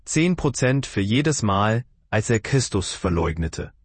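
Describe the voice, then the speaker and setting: A man with a low, husky voice preaching a sermon. He asks his listeners searching questions in an earnest, measured way. The recording is close and dry. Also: big pitch swings, dry recording.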